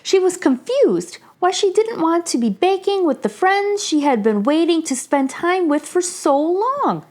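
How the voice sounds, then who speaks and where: High-pitched, lively woman reading a children's story. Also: a little slow, hardly any pauses. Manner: animated storytelling. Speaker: children's story reader, channel host. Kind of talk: expressive read-aloud of a children's picture book.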